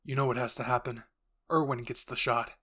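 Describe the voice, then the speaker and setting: A man's voice: mid-range voice, fairly even intonation, fairly quick, sharp tone. A stoic male army captain from an anime, as acted by a man. He is cold and firm, giving curt orders with a commanding edge. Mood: cold, resolute. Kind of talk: anime roleplay voice acting.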